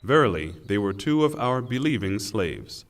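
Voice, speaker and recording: A man reading a scripture translation in a solemn, formal, deliberate way, with pauses. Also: lowish voice, very animated. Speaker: translation reader. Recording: long hall reverb.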